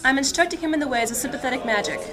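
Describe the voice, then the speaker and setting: Casting: woman as strict noble sorceress mentor, higher female voice, formal fantasy drama delivery. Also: a crowd in the background, lightly acted. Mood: formal, curt. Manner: formal, measured, imperious. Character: proud, commanding noblewoman mage, strict mentor. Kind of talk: fantasy audio drama acting.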